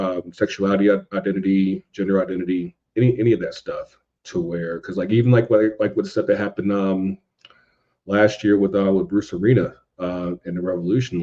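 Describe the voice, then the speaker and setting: A man in a casual podcast discussion, speaking haltingly and circling back over his points as a guest commentator. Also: deep voice, warm tone. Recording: dull, lo-fi voice track.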